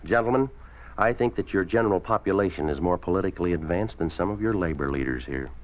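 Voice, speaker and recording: Deep-voiced, menacing party boss. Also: male voice, animated, fast talker, muffled recording. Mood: cold, needling. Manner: clipped, commanding, sarcastic questioning. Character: domineering, menacing male Communist Party boss, coldly sarcastic. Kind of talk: 1950s radio drama acting. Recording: old 1950s radio broadcast, music behind.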